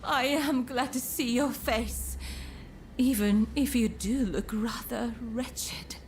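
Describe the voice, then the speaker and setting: An actress playing an elegant, teasing courtesan in a video game cutscene, with refined, coquettish phrasing. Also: female voice, a little high, fairly even intonation, slow, a little hiss or hum. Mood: teasing, grateful. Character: elegant, coy, teasing courtesan woman with powerful connections.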